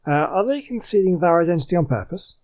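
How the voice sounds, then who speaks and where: A woman's voice: fairly low pitch, expressive, quick pace, darkish tone. A woman giving casual let's play commentary, musing aloud and reacting to a game as she plays. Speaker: gaming streamer.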